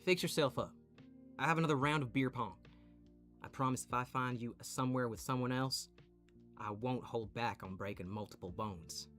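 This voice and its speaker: A man's voice: mid-range voice, moderate pace, a bit bright, soft background music, close to an everyday voice. A man voicing a possessive, cocky young playboy lover with a menacing edge, in a romantic audio roleplay. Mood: possessive, threatening. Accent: Southern US. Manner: domineering, profane.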